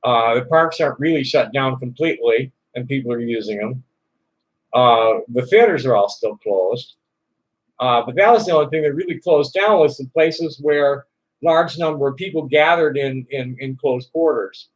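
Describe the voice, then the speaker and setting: A man's voice: medium pitch, rough recording. Rambling man full of fillers. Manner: halting, many fillers and repeated starts. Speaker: livestream host answering chat questions. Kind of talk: rambling casual chat. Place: Tucson, Arizona.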